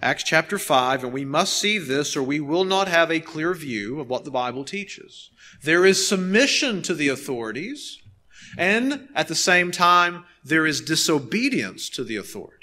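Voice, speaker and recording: A male pastor in his thirties delivers a sermon in a high, bright voice. He is earnest and emphatic, setting key phrases apart with deliberate pauses and repeating them. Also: sing-song. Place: nearly empty church sanctuary, behind a pulpit.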